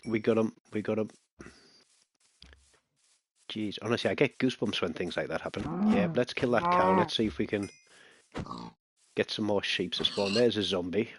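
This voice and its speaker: A man's voice: lowish voice, lively intonation, moderate pace, pauses often, slightly rough. A man chatting casually to himself while playing a survival game, muttering and breaking into alarmed bursts when enemies appear. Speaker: gaming channel host. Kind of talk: casual let's-play commentary.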